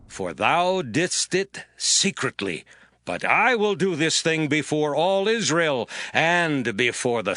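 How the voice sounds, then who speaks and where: An actor playing a stern, solemn Old Testament prophet, declaiming in a dramatized scripture reading. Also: male voice, on the high side, pushed and bright, heavily acted. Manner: slow, declamatory. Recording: background music.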